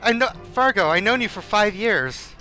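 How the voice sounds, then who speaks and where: A man's voice: high-pitched, lively intonation, normal speed, music in the background, poor audio. A man joining in casual banter among friends.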